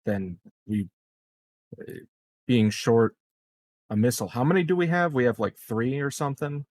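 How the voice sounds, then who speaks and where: Man with a medium-pitched voice, casual. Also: expressive, moderate pace, a little mellow. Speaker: tabletop game player. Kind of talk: casual in-character game discussion.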